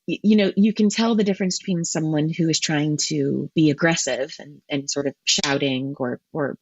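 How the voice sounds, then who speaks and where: Woman, scientist guest, husky, thoughtful, hesitant restarts, dry lo-fi sound. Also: low-pitched, moderate pace, no echo. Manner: thoughtful, many ums and restarts. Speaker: guest marine ecologist. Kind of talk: podcast interview answers.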